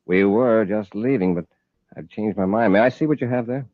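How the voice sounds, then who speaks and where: An actor playing a steady, authoritative space patrol commander in a 1950s radio serial. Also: male voice, fairly low pitch, normal speed, no echo, natural voice. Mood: suspicious, firm. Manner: firm, authoritative. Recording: dull old radio broadcast recording.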